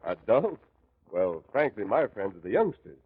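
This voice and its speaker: An actor playing a hearty, courteous cowboy hero in 1940s radio style. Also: male voice, on the low side, sing-song, normal speed, phone-quality sound, a faint crowd. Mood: politely reluctant. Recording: husky, phone-thin 1940s radio recording.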